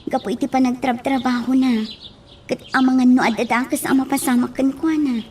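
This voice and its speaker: A woman's voice: high voice, steady tone, a little fast, a little mellow, close to an everyday voice. A woman with an Ilocano accent acting a worried, earnest young woman in a radio drama. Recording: faint noise with outdoor ambience.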